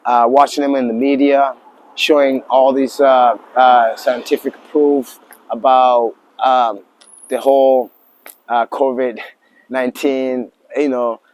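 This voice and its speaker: Tanzanian guy in his 40s, interview guest, relaxed, uh-heavy, keeps restarting, mid voice. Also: mid-range voice, takes it slowly, slight background noise. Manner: hesitant, many fillers and restarts. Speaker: interview guest, lodge owner. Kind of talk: casual interview talk.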